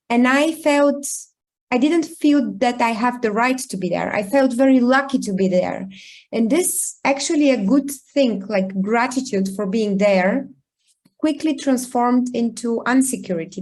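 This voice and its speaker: Brief: woman, a bit higher pitched, candid storyteller, hesitant ums, video call sound. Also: a little mellow. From Bulgaria. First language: Bulgarian. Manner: reflective, thoughtful, with frequent pauses and ums. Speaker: guest speaker, founder. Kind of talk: candid personal storytelling in an online talk.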